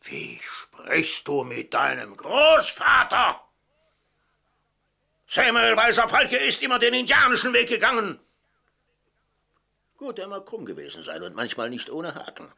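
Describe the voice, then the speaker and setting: A proud old grandfather with a very sharp, forceful voice and a husky edge. He is stubborn and combative, declaiming in wide, animated swings as in a radio drama. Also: high voice, a little slow, long pauses, heavily acted. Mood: indignant. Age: older. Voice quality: gravelly, husky. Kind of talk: German radio play acting.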